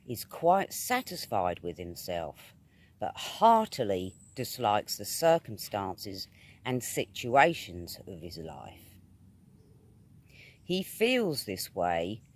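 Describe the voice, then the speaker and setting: A man with a British accent reading a spiritual essay aloud in a measured, emphatic, oratorical manner. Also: mid-range voice. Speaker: reader of metaphysical essays.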